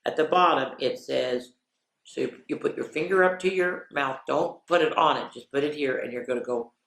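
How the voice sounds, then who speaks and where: A woman's storytelling voice in an echoey room. She reads to children in an expressive, playful way and breaks off for chatty asides, speaking to her young listeners directly. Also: low-pitched, normal speed, gravelly. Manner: expressive, playful, drops into whispers for refrains. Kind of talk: animated read-aloud for children with chatty asides. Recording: roomy, echoey sound.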